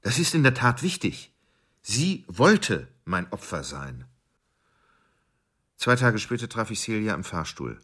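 A man's voice: on the low side, very animated, a little slow, long pauses, fairly bright tone, husky, exaggerated voice. An actor in a German radio drama playing a cold, irritable married man with murderous urges, narrating with sardonic self-absorption. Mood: menacing, irritable.

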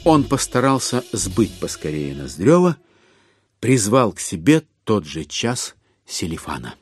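A man with a fairly low voice narrates a literary audio drama. He reads in measured, expressive phrases and pauses deliberately, while violin music plays behind him.

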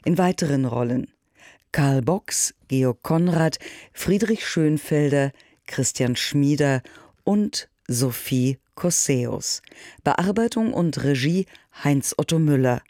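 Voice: mid-range voice, animated, takes it slowly, a little mellow, dry recording, studio quality. A radio announcer formally reading out scripted cast and production credits.

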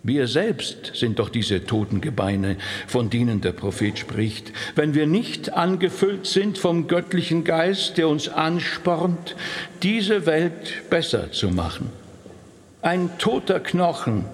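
Slow preacher in reverberant hall. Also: male voice, fairly high pitch. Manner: measured, solemn. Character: earnest, exhorting male preacher. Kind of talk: sermon within a radio drama. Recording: long echoing hall reverb.

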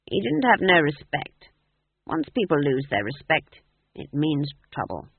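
A man's voice: a little high, big pitch swings, unhurried, creaky, breathy edge, dry recording, narrow, tinny audio, heavily acted. A man voicing a stern, severe old witch who scolds about respect. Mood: disapproving.

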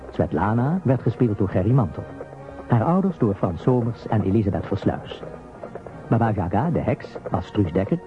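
A man reading the end credits of a radio drama as a scripted announcement. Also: mid-range voice. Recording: narrow phone-like radio broadcast sound. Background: music.

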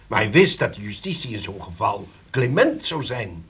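An actor in a Dutch radio play playing a shrewd, deliberate older relative who poses as a police commissioner, speaking with measured authority. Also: male voice, fairly low pitch, sing-song, vocal fry, fairly bright tone, breathy edge, full character voice. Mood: stern, assured.

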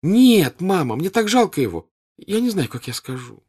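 A breathless, squealing teenage girl, eager and insistent, her voice leaping up high in a storybook reading, as a man puts her on. Her delivery swings wildly up and down with every plea. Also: very animated, normal speed, darkish tone, husky, full character voice. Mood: pleading.

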